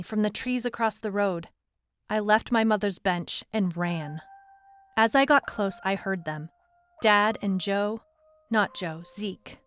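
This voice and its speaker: A woman's voice: mid-range voice, clear, resonant tone, lightly acted. Woman as female detective narrating her case. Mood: urgent. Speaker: lead narrator. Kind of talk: scripted first-person narration, audio drama.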